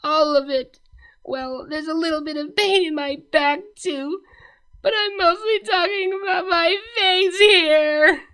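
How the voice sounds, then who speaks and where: Brief: dazed, moaning princess, very high, wailing, woman reading. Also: a little slow, fairly bright tone.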